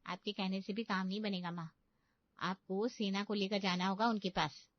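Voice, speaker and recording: A woman playing a young, bossy elder sister in an animated comedy story, scolding and teasing with sarcasm. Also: mid-range voice, moderate pace, no echo, lightly acted. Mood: exasperated. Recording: dull, lo-fi studio voice-over.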